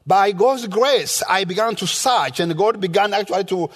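High-pitched Rwandan man preaching in a hall. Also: moderate pace. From rural Rwanda. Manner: emphatic, teaching with repetition and rhetorical questions. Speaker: guest preacher. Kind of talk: sermon with personal testimony. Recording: reverberant hall sound.

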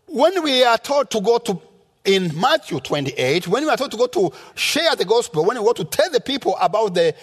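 A man's voice: high-pitched, slightly rough. A man from rural Rwanda with a Rwandan accent, preaching a sermon in an emphatic, teaching manner that leans on repetition. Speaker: guest preacher. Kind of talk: sermon with personal testimony. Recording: reverberant hall sound.

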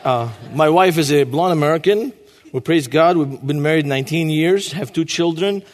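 Guest preacher, man in his 40s, high voice, long church reverb. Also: normal speed. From Lebanon. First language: Arabic. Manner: emphatic, repeats key phrases. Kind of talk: sermon.

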